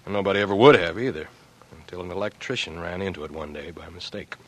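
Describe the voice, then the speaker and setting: An actor playing a guilt-ridden, weary young man turned burglar, grave and halting, in 1940s radio drama style. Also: low-pitched. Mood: confessional, subdued.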